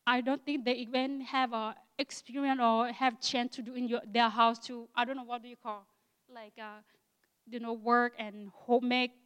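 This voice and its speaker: A woman in her sixties from Thailand with an Akha Thai accent, speaking to a church in halting English and often searching for words. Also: a little high, clean tone, long reverb tail, dull audio. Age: 60s. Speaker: guest missionary speaker. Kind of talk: church talk with thanks.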